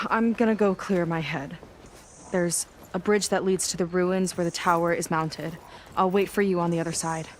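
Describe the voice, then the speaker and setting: A woman's voice: on the low side, steady tone, moderate pace, a little hiss or hum, lightly acted. An actress playing an earnest, guarded young seafaring woman in a video game cutscene, speaking conversationally. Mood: subdued, practical.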